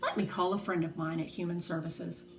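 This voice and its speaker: A woman's voice: lowish voice, steady tone, a little fast, close to an everyday voice. A woman voicing a curt, skeptical hospital nurse in a children's storybook, clipped and wary. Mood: softened, hesitant. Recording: roomy echo, faint background noise.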